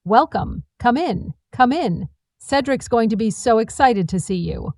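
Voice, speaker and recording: A woman's voice: a little high, expressive, unhurried, a little mellow, dry recording. A woman narrator plays a young daughter-in-law in a family drama story, sugary and over-polite, pleading and manipulative underneath. The sound is very dry. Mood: bright, cheerful.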